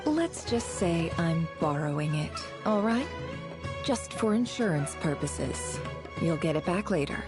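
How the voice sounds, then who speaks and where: Low-voiced, calculating lady boss. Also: sing-song, measured pace, music in the background. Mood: coolly persuasive. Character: composed, calculating female boss who trades in favors. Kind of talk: video game cutscene voice acting.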